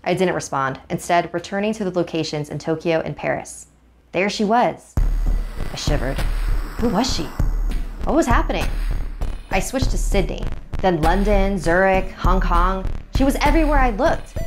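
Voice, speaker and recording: Female narrator for a creepy first-person tale: lowish voice, expressive and suspenseful, clear music bed underneath. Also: moderate pace. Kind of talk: dramatic first-person horror story narration.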